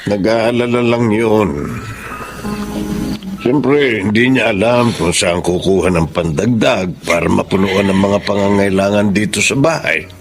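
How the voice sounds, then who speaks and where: A gravelly, gentle old father. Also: lowish voice, a little slow. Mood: apologetic. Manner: gentle, reassuring. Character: gentle retired father, calm and supportive. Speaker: father, retired ship worker. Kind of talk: radio drama acting. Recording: radio drama with music bed.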